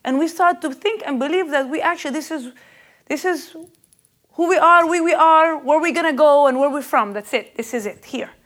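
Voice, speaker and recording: Earnest woman preacher, rather high voice. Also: moderate pace. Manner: intense and earnest, rising and louder on key questions; hesitant fillers, searching for words. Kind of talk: spoken sermon.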